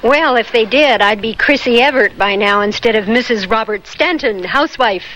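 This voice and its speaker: An actress playing a practical, concerned housewife and friend in a radio drama, sympathetic and coaxing. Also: female voice, a little high, normal speed, bright, forceful tone. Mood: sympathetic, skeptical. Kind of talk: 1970s radio drama acting. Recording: noisy old radio recording, ambience.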